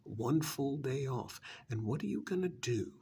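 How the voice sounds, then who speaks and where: A man's voice: medium pitch, lively intonation, measured pace, mellow, a little hiss or hum. A man doing an ASMR role-play as a cynical, persuasive recruiter for vampires, sardonic and full of prodding rhetorical questions. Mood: mocking, sardonic.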